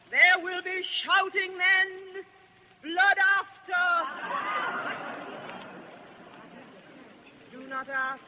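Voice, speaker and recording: An actress playing a newly dead woman risen to prophesy, sorrowful and solemn, in halting radio verse. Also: fairly high pitch, heavily acted. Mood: crying out prophecy. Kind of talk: 1930s radio verse drama acting. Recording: bright, noisy old radio recording.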